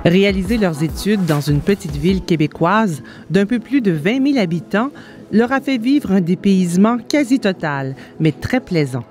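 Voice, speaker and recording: A woman's voice: medium pitch, lively intonation, moderate pace, darkish tone. A woman reading scripted narration for a video report as its reporter. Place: voice-over recording. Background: music bed.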